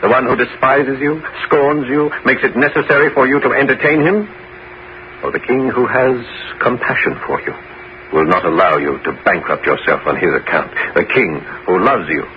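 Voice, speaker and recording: An actor playing a scheming, calculating bishop in a 1970s radio drama, speaking in a measured, persuasive, theatrical way. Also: male voice, medium pitch, lightly acted. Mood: intense, persuasive. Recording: dull, noisy 1970s radio broadcast.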